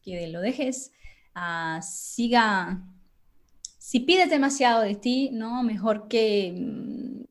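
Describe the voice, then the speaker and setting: A woman's voice: a little high, animated, drawn-out words. A woman who teaches Spanish, talking animatedly as a guest on a casual podcast and laughing between phrases.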